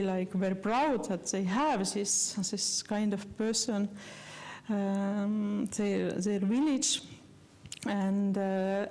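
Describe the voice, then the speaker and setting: Slow, mellow-voiced woman lecturing in non-native English. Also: medium pitch. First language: not English. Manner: halting, many fillers and restarts; earnest, personal. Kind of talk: slide lecture.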